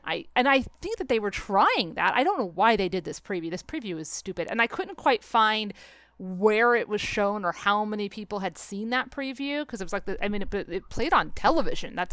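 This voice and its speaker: A woman co-hosting a podcast in casual chat, with an animated, sarcastic manner and a readiness to swear. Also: mid-range voice, no echo. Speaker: podcast co-host. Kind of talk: casual podcast chat about a film and book.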